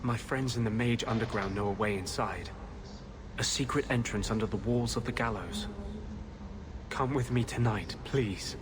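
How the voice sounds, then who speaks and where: A man playing an intense, embittered rebel mage in a video game, idealistic and distrustful, speaking gravely and urgently. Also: on the low side, normal speed, noisy background, lightly acted. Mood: urgent, pleading. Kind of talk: dramatic video game dialogue.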